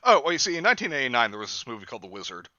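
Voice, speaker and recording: Wry guy hosting a podcast, sharp forceful voice, dry muffled mic. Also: medium pitch, very animated, racing, no echo. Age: 30s. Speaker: podcast host. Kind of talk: casual podcast banter. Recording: muffled, dry close recording.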